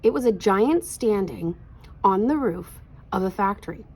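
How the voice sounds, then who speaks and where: A woman narrating a children's picture book aloud in an expressive read-aloud style. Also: medium pitch, unhurried, husky.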